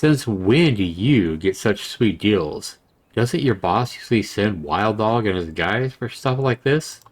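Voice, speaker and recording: A man's voice: lowish voice, expressive, measured pace. A man voicing a weary, skeptical young man in a visual novel, subdued and questioning.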